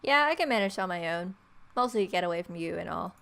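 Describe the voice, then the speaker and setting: A young adult woman hosting a let's play, reading visual novel narration aloud in an irreverent, expressive way. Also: lowish voice, fast talker, creaky. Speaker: gaming video host.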